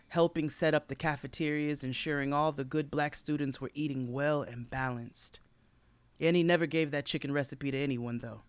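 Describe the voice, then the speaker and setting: A man's voice: fairly high pitch, clean tone, no echo. A man co-hosting a podcast, telling a made-up history tale in a mock-solemn comic way. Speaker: podcast co-host. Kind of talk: comic tall-tale storytelling.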